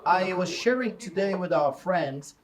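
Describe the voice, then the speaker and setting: Casting: middle-aged man, Polish accent, street preacher, forceful and mocking, fairly high voice. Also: animated, measured pace, a crowd in the background. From Poland. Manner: forceful, mocking, rising to shouts. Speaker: street pastor. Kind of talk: fiery preaching to a crowd.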